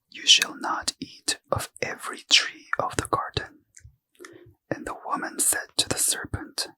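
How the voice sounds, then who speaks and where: A man reading a bedtime scripture story in ASMR style, in a soft unvoiced whisper. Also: low-quality recording. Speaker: ASMR reader.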